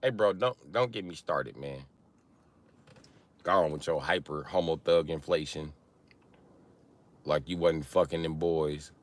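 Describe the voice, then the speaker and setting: A man hosting a casual livestream, teasing and swearing as he banters with viewers in an animated, exaggerated way. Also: measured pace, long pauses. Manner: teasing, profane mockery; animated, breaks into high-pitched exaggerated mimicry. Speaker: livestream host chatting with viewers.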